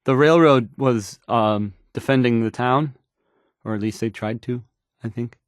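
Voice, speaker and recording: A man's voice: medium pitch, a little slow, no room sound, studio quality, lightly acted. An actor playing a nervous, awkward young male radio DJ in a game, stammering and hesitating through the news, rambling and unsure of himself. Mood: hesitant, awkward. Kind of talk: fumbled radio news report in a video game.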